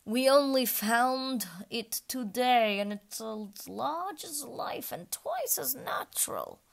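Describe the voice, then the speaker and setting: A woman's voice: sits high, big pitch swings, slow, creaky, pitched above the performer's own voice, heavily acted. An eager messenger in a storybook, voiced by a woman, fussy and full of show. He is keen to present and explain everything.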